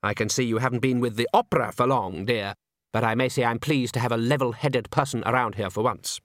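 A man voicing Salzella, an urbane director of music who speaks smoothly and sardonically. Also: medium pitch, fairly quick, fairly bright tone, dry recording, clean studio sound, lightly acted. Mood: sardonic.

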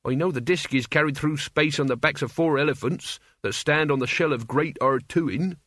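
A man's voice: a little high, moderate pace, a bit bright, no room sound, clean studio sound. A man as a naive, earnest teenage boy. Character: naive, well-meaning sixteen-year-old boy, blunt and bewildered.

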